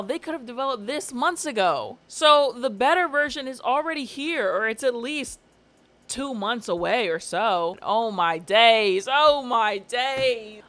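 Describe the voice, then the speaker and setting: A woman giving casual, sarcastic commentary on a vlog, animated and quick to laugh. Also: on the high side. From family from Barbados. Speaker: YouTube commentator.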